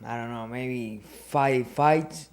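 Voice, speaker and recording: A man in his thirties from Argentina with an Argentine Spanish accent, a boxer and podcast guest telling his story in halting second-language English full of "you know". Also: medium pitch. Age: 30s. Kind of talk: casual podcast interview. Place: podcast studio.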